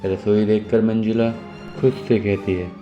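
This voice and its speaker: A man's voice: fairly low pitch, flat delivery, a little slow, muffled recording. A man narrating a scripted moral story as its storyteller. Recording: music behind the voice.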